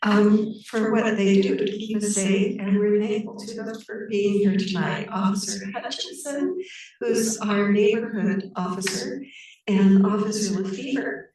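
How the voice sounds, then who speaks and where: A woman with a hoarse, failing voice, haltingly and appreciatively introducing an informal public meeting as its host. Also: mid-range voice, steady tone, darkish tone. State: hoarse, losing her voice. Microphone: room microphone with ceiling pickup. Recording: echoey room PA, distant, faint noise.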